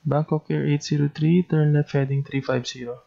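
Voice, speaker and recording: A man's voice: a little high, flat delivery, moderate pace, creaky, warm tone. A man with a Filipino accent speaking in the clipped, procedural style of an air traffic control demonstration, on a dull lo-fi recording.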